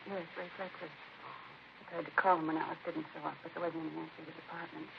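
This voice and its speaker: An American actress playing a distraught, disapproving, defensive grieving sister in a 1950s radio crime drama, speaking in a hesitant, confiding way. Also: female voice, sits low, steady tone, fast talker, gravelly. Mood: subdued, hesitant. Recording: muffled, noisy vintage radio recording.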